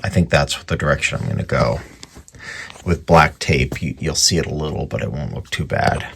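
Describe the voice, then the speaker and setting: A man giving casual, hands-on repair commentary in a gravelly, husky voice, thinking aloud informally as he works. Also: rumbling low, moderate pace, a bit bright, slight background noise. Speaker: radio repairer presenting his restoration.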